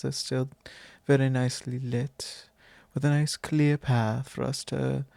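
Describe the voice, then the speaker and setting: A man playing a courtly, fond vampire lord in an intimate ASMR roleplay, speaking formally and unhurriedly with pauses. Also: mid-range voice, creaky, darkish tone, no echo. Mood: suave, fond. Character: courtly possessive male vampire lord, long-lived, fond and wistful. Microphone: close microphone, dry.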